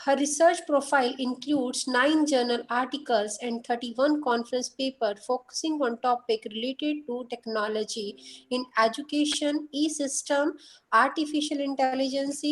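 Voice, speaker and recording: A woman's voice: high voice, moderate pace, rough recording. A woman hosting an online workshop over a video call, reading a formal introduction of the speaker steadily, with small slips. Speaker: workshop host.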